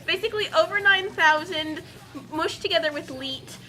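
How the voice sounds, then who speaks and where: A woman's voice: very high-pitched, normal speed, sharp tone, low-quality recording. A woman reading a story aloud in a playful, dramatic way, with casual asides as a co-host.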